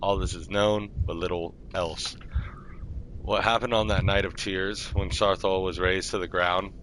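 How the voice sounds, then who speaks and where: A man streaming a game roleplay, reading lore aloud in a measured, formal way. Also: deep voice, little pitch movement, fairly bright tone, a little hiss or hum, low-quality recording.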